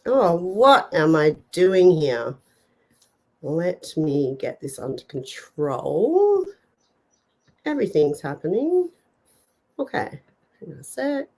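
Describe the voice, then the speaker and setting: Stuffy-nosed woman hosting a slow, chatty live show. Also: lowish voice, very animated, a little mellow. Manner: chatty, rambling, many fillers and laughs. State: stuffed up from a cold. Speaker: host. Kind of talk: casual live-stream chat.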